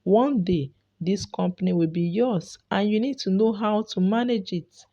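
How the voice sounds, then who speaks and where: A woman's voice: mid-range voice, a little slow, mellow, lo-fi sound. A woman telling a folktale as scripted narration, in the role of storyteller.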